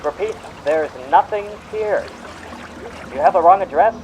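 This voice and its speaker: A man's voice: sits high, measured pace, darkish tone, highs cut off, pitched above the performer's own voice. A man voicing a clipped, urgent police officer calling over a radio in a horror drama. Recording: faint watery noise behind.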